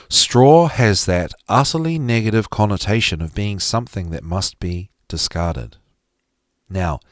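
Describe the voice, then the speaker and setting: A man giving an expressive, emphatic reading of a psychology lecture, with deliberate phrasing that drops dramatically at the ends of phrases. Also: fairly low pitch, no room sound, studio quality. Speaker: podcast host reading aloud from a psychology text.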